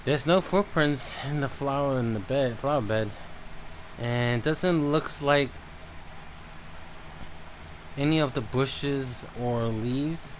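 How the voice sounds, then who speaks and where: A man's voice: medium pitch, takes it slowly, long pauses, low-quality recording. A man doing casual livestream commentary as he plays a game, with some noise behind him. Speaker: game streamer.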